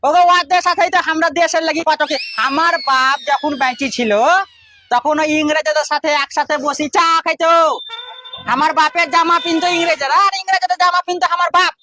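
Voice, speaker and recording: Guy doing grumpy old village man, rural west bengal accent, super high n fast, comedy skit. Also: steady tone, bright, forceful tone, a little hiss or hum. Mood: weary grumbling. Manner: complaining, repetitive rhetorical questions. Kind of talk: comic social skit acting. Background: faint music.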